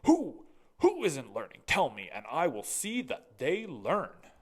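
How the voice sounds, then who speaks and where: A performer voicing a proud, overenthusiastic male school principal in a storybook, with grand, drawn-out exclamations. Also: medium pitch, huge pitch swings, breathy edge, pitched above the performer's own voice, exaggerated voice. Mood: worried.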